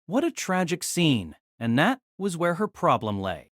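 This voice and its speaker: A man narrating a novel aloud in an expressive storytelling manner. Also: on the high side, measured pace, dry recording, studio quality.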